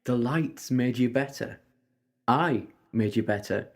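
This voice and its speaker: A man's voice: medium pitch, takes it slowly. A man playing a kindly, assured time-travelling adventurer in an audio drama, reassuring and breezily understated. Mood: gentle, reassuring.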